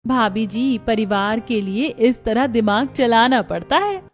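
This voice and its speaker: A woman's voice: fairly high pitch, unhurried, faint music behind, natural voice. A woman voicing a hopeful, encouraging young woman in a family cartoon.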